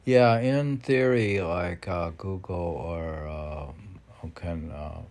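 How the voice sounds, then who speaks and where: A man with a gravelly, husky voice reading his own poems, with halting, self-deprecating commentary in which he talks back to himself. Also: low voice, a little mellow. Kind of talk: poem reading mixed with rambling self-commentary.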